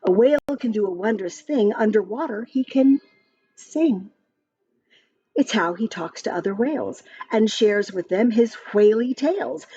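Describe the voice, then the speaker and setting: A woman reading a children's picture book aloud in an expressive, sing-song way, adding chatty asides about the pictures. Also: medium pitch, husky. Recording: slightly dull lo-fi, music behind. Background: music.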